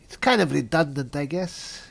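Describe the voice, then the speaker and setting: A man's voice: on the high side, expressive, moderate pace, gravelly. A man hosting a gaming video, talking politely in character and musing aloud as he reads out in-game descriptions. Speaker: gaming video host and player. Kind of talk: in-character roleplay mixed with game commentary.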